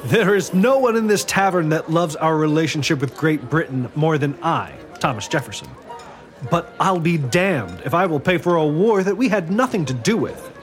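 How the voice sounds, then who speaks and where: A man's voice: a little high, expressive, normal speed, music in the background, lightly acted. An actor playing a principled statesman who speaks in a formal, proper way.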